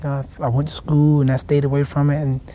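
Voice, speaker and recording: A young man, a boxing champion answering in a TV studio interview, halting and restarting his words. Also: a little high, completely flat delivery, mellow. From South Carolina, raised in Washington, D.C. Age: young adult. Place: TV studio set.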